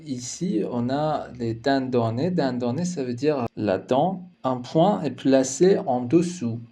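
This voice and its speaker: Slow, mellow-voiced male teacher. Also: medium pitch, animated. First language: Persian. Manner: slow, careful, explanatory delivery with hesitation fillers. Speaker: language teacher. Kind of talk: language lesson narration.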